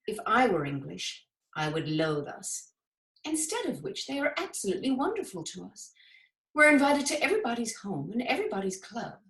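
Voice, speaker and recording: A woman playing a gushing 1950s actress in a stage monologue, savouring an effusive, emphatic letter to her dearest friend. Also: mid-range voice. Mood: breezy, delighted. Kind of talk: theatrical monologue of a letter read aloud. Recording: roomy, echoing sound.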